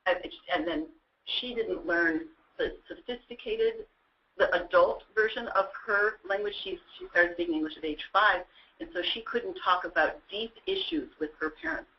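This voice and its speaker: A woman contributing haltingly to a group discussion over muffled webinar sound. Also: mid-range voice, expressive.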